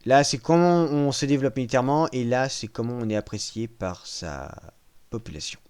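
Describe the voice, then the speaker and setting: A man casually walking viewers through a strategy video game, joking at his own expense and pausing often with fillers. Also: medium pitch, a little mellow, clean tone. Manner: self-mocking, hesitant with frequent fillers. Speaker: gaming channel host. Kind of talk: casual gameplay walkthrough.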